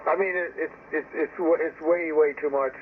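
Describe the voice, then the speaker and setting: A man's voice: a little high, steady tone, moderate pace. A man in a casual, opinionated, rambling ham radio chat, heard over a narrowband radio link. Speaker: amateur radio operator. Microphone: received over ham radio. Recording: tinny narrowband radio transmission with static noise.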